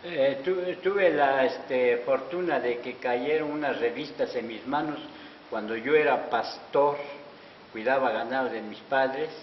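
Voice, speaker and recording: A man's voice: medium pitch, unhurried. An older man from Oaxaca whose first language is Zapotec, telling his story modestly in an interview, speaking into a handheld mic in an indoor hallway. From Oaxaca, Mexico. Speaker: interviewee, artisan promoter. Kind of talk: interview answers. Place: wood-paneled auditorium hallway, indoors. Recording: echoey hall, dull lo-fi sound with hiss.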